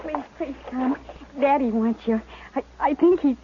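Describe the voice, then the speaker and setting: An actress playing a distressed young frontier daughter, pleading and halting in a 1950s radio western. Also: female voice, high-pitched. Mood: frantic pleading. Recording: tinny, noisy old 1950s radio recording.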